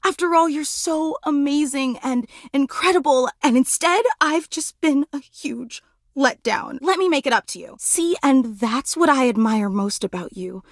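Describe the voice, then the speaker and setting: A woman voicing an adoring, anxious superfan in a video game, effusive, dramatic and quick to put herself down. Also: high voice, no room sound, studio quality. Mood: self-pitying, apologetic, then adoring, hopeful. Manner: effusive, dramatic, self-deprecating. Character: gushing, anxious, adoring superfan, an animate household object. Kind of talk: video game character voice acting.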